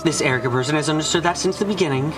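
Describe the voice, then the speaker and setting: A man's voice: on the high side, racing, over music, voice pushed up, close to an everyday voice. A man voicing a brooding young woman who reasons through witches' magic in an introspective visual novel monologue. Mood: pondering.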